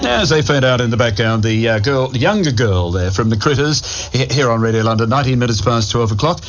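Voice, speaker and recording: A man's voice: medium pitch, expressive, fairly quick, dull audio. A middle-aged man presenting music radio in a chatty, rambling way, often interrupting himself. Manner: rambling, self-interrupting, chuckling. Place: radio studio.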